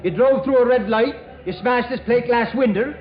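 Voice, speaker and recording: A man's voice: very high-pitched, moderate pace. An actor playing a brash, sarcastic policeman in 1940s radio comedy, taunting and wisecracking. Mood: accusing. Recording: muffled echoey radio stage with audience.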